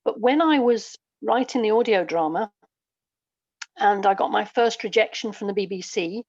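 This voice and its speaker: A woman's voice: medium pitch, moderate pace, darkish tone, dry recording, lo-fi sound. A middle-aged woman guest, a writer and performer, telling stories conversationally in an interview. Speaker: guest, audio dramatist and performer.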